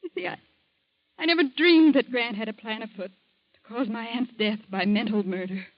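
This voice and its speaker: An actress playing an anxious young woman in a 1940s radio drama, explaining herself in a rushed way. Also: fairly high pitch, dry recording, lightly acted. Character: distressed young woman, the niece. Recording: muffled dry old radio recording.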